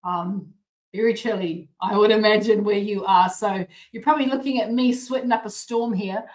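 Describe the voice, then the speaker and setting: A woman's voice: mid-range voice, moderate pace, darkish tone. Australian woman, late forties, bubbly rambling host, roomy echo. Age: late 40s. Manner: bubbly, rambling, with frequent restarts and laughs. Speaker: host of a healthy cooking show. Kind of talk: chatty live-stream greetings and updates.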